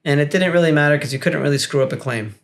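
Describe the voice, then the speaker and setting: A man in his forties from Miami, a guest on a podcast, talking casually in a blunt, profane way and quick to agree. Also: on the high side. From Miami, United States. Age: 40s. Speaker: guest, business coach. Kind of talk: casual conversation.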